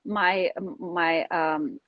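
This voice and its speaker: A woman's voice: lowish voice, fairly even intonation, extremely slow, dry recording, highs cut off. A woman whose native languages are Ukrainian and Russian, a Montessori facilitator speaking as a guest over a video call, hesitant with many fillers. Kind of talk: conversational interview.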